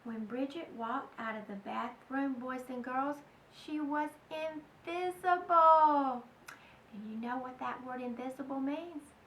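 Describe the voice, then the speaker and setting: A woman's voice: high-pitched, steady tone, slow pace, fairly bright tone. A woman reading aloud to children in a dramatic, emphatic way, talking directly to her young listeners. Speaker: children's storybook reader. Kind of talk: animated read-aloud to children. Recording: echoey room, distant mic, faint noise.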